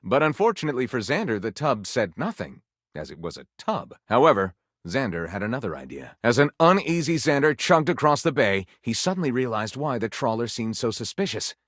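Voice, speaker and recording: A man's voice: medium pitch, big pitch swings, brisk, fairly bright tone, no room sound, clean studio sound. A man playing a theatrical game character who narrates pulpy detective adventures with arch mock gravity and a tongue-in-cheek manner. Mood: arch, dramatic. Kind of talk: dramatic scripted story narration.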